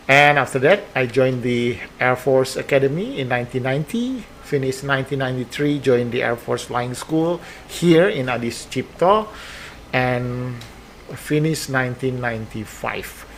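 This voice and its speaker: An Indonesian man in his fifties answers interview questions in English, slowly and unhurriedly, with frequent pauses and fillers. His tone is friendly and joking. A faint hiss of noise sits under his voice. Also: medium pitch. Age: 50s. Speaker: guest, air force base commander.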